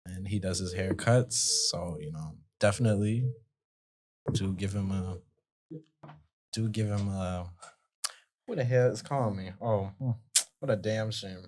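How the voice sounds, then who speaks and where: Young podcast host guy, low-ish voice, joking around, animated. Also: unhurried, pauses often. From Montreal. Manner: playful, animated, jokey. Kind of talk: casual chat.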